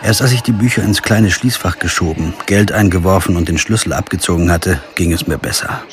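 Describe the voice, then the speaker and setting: An actor playing a stubborn, principled lawyer who tells a radio crime story in measured, literary German prose style. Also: male voice, low-pitched, natural voice. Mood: brooding, measured. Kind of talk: first-person radio crime drama narration and dialogue. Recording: music behind the voice.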